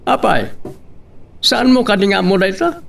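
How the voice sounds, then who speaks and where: A man acting in an Ilocano radio drama as a guarded businessman and father, speaking haltingly. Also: high-pitched, big pitch swings, gravelly, fairly bright tone, recorded from a distance, full character voice. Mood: businesslike, hesitant.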